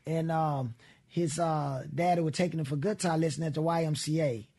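A middle-aged man from with a raspy voice, telling stories in a relaxed radio interview. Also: a little high. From Mississippi. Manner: relaxed storytelling with Southern grammar and drawn-out pauses. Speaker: guest blues musician.

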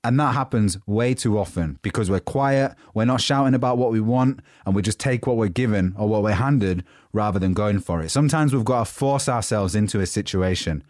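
Guy with a cold doing his motivational podcast, croaky, kinda low voice, chatty. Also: a little fast, hardly any pauses, darkish tone, dry recording, clean studio sound. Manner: informal, chatty, unscripted pep talk. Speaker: podcast host. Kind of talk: motivational talk.

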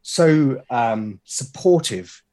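A man's voice: medium pitch, expressive, very slow, a little mellow. A man chatting casually as a podcast guest, enthusiastic but hesitant, often repeating words as he goes. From Britain. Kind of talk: casual conversational interview.

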